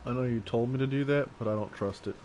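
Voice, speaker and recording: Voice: mid-range voice, fast talker, a little mellow, slight background noise. A streamer giving casual, terse live gameplay commentary, offhand and given to swearing.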